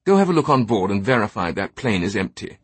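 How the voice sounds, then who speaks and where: A man's voice: on the low side, sing-song, quick pace, breathy edge, no room sound. A man voicing a jovial, posh older British knight in an audiobook, breezy and blustering with theatrical indignation. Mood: indignant pleading.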